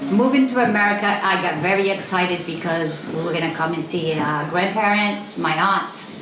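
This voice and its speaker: A woman's voice: fairly low pitch, moderate pace. A woman in her sixties from Portugal, a native Portuguese speaker, casually recalling immigrant life in an interview. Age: 60s. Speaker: interviewee, older sister. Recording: roomy echo with music underneath. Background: music.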